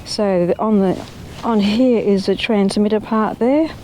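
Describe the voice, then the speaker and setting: A woman's voice: mid-range voice, unhurried, darkish tone, slight background noise. A woman presenting a vlog in a casual, explanatory way, talking plainly and step by step. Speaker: presenter of a recumbent trike vlog. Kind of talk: casual explanatory demonstration and chat. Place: outdoors.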